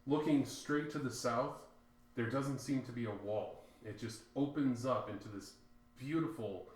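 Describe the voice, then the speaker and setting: A man narrating scenes as game master of a tabletop game, describing them in a measured way with filler pauses. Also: medium pitch. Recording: very echoey room, faint noise.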